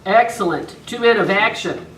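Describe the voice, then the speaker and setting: A woman's voice: mid-range voice, normal speed, fairly bright tone, natural voice. A woman playing an earnest, emphatic university alumni coordinator in an amateur radio script reading. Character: earnest, worried female alumni affairs coordinator. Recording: roomy, distant camera mic, faint noise.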